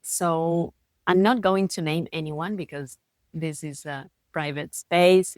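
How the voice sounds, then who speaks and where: A woman with an Argentine Spanish accent talks in a thoughtful, earnest way, leaning slowly on the words that matter to her. Her voice sits fairly low. The recording is close and dry, with no room sound around her. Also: no echo. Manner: thoughtful, earnest, with slow deliberate stresses. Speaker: podcast host. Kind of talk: reflective solo podcast talk.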